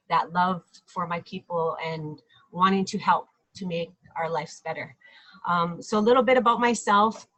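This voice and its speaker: A middle-aged woman from South Dakota introducing herself as an election candidate in a live video stream, speaking in an earnest, measured way with frequent ums and pauses. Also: fairly low pitch, fairly bright tone, low-quality recording. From Lake Traverse Reservation area, South Dakota. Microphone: webcam or phone, live video stream.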